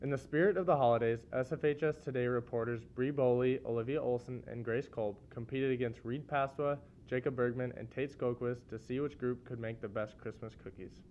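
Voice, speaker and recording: A man's voice: fairly even intonation, brisk, few pauses, a little mellow. A teenage male student anchor reading scripted news links. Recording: muffled audio with faint rumble.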